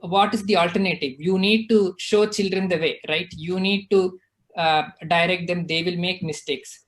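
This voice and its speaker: A man's voice: high-pitched, a bit bright. A man, earnestly explaining his views on parenting in a casual online discussion and often checking in with "right?". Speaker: guest speaker. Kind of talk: casual discussion on a video call.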